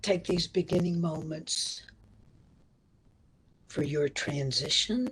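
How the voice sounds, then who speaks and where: A woman leading a guided meditation as instructor, speaking gently in short, measured phrases with a breathy, husky tone. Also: sits low, rough recording.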